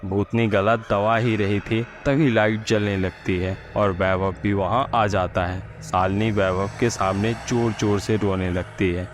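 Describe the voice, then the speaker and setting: A man's voice: lowish voice, flat delivery, measured pace, hardly any pauses, slight background noise. A man working as a storyteller, reading a scripted horror story narration.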